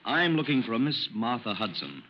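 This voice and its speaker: An actor playing a methodical, businesslike federal agent, formal and politely probing, in 1940s radio style. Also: male voice, medium pitch, very animated, a little fast, a little hiss or hum, close to an everyday voice. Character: businesslike, methodical male FBI special agent. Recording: muffled old-time radio recording.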